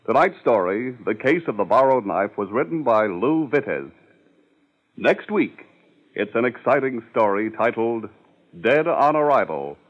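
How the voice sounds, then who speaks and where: Animated vintage American radio announcer, male. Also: mid-range voice. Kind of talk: 1950s radio announcing, scripted. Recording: dull, lo-fi 1950s radio recording.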